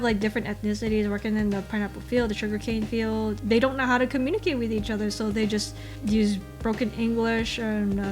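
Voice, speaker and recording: A woman from Hawaii, chatting casually as co-host and rambling with restarts. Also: medium pitch. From Hawaii, USA. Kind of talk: casual chat.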